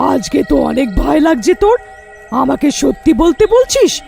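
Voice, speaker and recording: A woman's voice: sits high, big pitch swings, takes it slowly, gravelly, a little mellow, soft background music, exaggerated voice. A woman voicing a fierce, bitter but protective witch mother in a cartoon horror story, with dramatic delivery. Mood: taunting.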